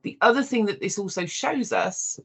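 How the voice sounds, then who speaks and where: A software demonstrator giving a live walkthrough, explaining step by step in an informal way and addressing viewers directly. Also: mid-range voice, moderate pace, creaky, husky.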